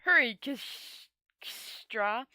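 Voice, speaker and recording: Casting: big young warrior lord, read by a woman, fantasy storybook, imperious and expansive, bright forceful delivery, slightly raised pitch. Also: lively intonation, extremely slow, no room sound, full character voice. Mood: commanding. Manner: expansive, commanding. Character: heavily built young dragon lord, booming, imperious, mocking.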